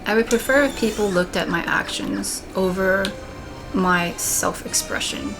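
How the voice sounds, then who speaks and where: A young woman with a monotone voice, talking in a candid, rambling way while playing a video game. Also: mid-range voice, unhurried, poor audio. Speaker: let's play gamer. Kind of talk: casual commentary while gaming. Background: game music.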